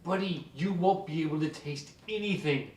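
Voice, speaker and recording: A man's voice: on the high side, normal speed, a little mellow, natural voice. A man playing a hot-headed, sarcastic anger-management patient in an echoey room. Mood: sarcastic, menacing. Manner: sarcastic, threatening, exasperated. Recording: echoey room, distant mic, faint noise.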